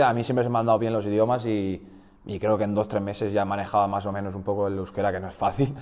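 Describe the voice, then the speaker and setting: Young man, slight Basque accent, low voice, relaxed, stop-start chat. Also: in a large hall. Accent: Spanish, slight Basque. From Portugal, raised in the Basque Country. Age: 20s. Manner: relaxed, frequent restarts and fillers. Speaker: guest footballer. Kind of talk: casual interview chat.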